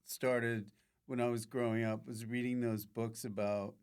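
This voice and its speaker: A man with a medium-pitched voice hosting a podcast, chatting casually with short joking interjections. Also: level tone, unhurried, a little mellow, smooth. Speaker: podcast host.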